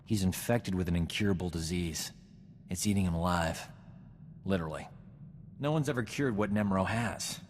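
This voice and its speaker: An actor playing a vain, flirtatious, smooth-talking doctor in a video game. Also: male voice, low voice, moderate pace, big-room sound, slight background noise, close to an everyday voice. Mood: boastful. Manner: cocky, self-admiring, smooth-talking. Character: vain, flirtatious male doctor, boastful charming rogue. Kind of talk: video game cutscene acting.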